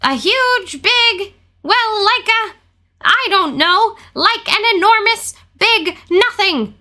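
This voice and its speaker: A woman voicing a tiny, timid, panicky male piglet in a children's storybook, squeaky, breathless and stammering with anxiety. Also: lively intonation, slow pace, bright, forceful tone, heavily acted. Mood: anxious. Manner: frantic, stammering, anxious. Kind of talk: children's storybook character reading.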